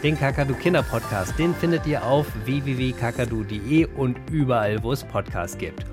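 A man's voice: medium pitch, fairly quick. Chatty male kids' podcast presenter, music behind. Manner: chatty, addressing young listeners directly. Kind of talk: friendly promo announcement for children.

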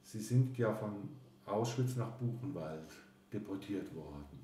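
A man asking calm, brief interview questions. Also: lowish voice, unhurried. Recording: distant, roomy, off-mic with faint noise.

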